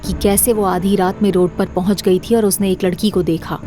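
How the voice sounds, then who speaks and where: A woman's voice: medium pitch, little pitch movement, brisk, dark tone, faint music behind. A woman narrator reading a scripted horror story for an audio drama series.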